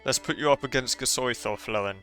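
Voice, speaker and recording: A man's voice: mid-range voice, quick pace. A man giving casual reaction commentary as he streams a game, with game music in the background. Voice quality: bright, clear.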